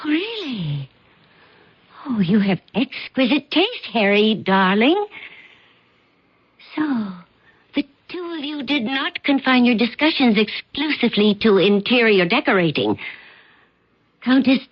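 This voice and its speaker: Controlling wife in old radio drama, high n husky, sugary taunting, muffled recording. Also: female voice, big pitch swings, heavily acted. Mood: sugary, mocking. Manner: sugary, taunting, theatrical, drawn-out words. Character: possessive, mocking wife who blackmails her husband.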